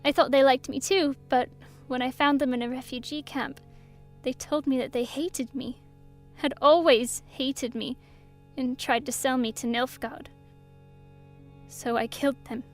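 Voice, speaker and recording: A woman's voice: high voice, steady tone, unhurried, pauses often, faint music behind. A woman voicing a young orphaned princess in an audio drama, earnest and childlike, hurt yet resolute. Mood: hurt, confiding.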